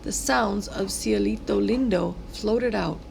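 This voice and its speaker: A woman reading a children's story aloud in an expressive, dramatic way, drawing words out. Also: medium pitch, takes it slowly, gravelly, a little hiss or hum, rough recording. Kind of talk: expressive read-aloud narration.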